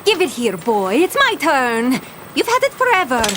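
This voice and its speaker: A woman's voice: high-pitched, huge pitch swings, normal speed, background noise. A woman playing a bossy, treasure-obsessed pirate captain in a cartoon adventure, theatrical and full of salty pirate slang. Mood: excited, bossy. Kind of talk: animated cartoon adventure storytelling.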